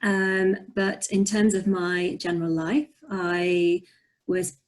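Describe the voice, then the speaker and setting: A young woman with a slight Yorkshire accent, speaking softly and reflectively with frequent ums, answering questions over a video call. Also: lowish voice, level tone, takes it slowly. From north of England. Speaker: poet and author. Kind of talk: conversational interview answers.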